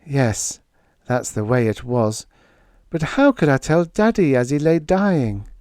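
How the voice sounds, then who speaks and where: A wistful young princess, as a male narrator plays her in an audiobook, lovelorn and bound by duty. The voice is lifted well up in pitch, earnest and plaintive, and closely recorded with a dry sound. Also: lively intonation, slow, a little mellow, no echo. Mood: resigned. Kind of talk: audiobook character voice.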